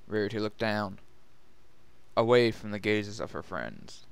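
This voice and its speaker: A man's voice: fairly low pitch, expressive, unhurried, vocal fry, clear, resonant tone. A man narrating a scripted story as a dramatic reading. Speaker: narrator and reader.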